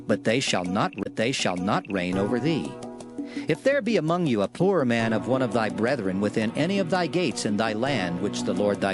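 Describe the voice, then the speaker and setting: A man giving a solemn, measured, declamatory reading of scripture in a dramatized production. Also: medium pitch. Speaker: scripture reader. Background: background music.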